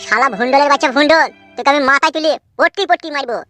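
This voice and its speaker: Man playing sheepish, fast-talking village son-in-law. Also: extremely high pitch, sharp tone, soft background music. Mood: stubborn anger. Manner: hesitant, bumbling, whiny protesting. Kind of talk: Bengali cartoon acting.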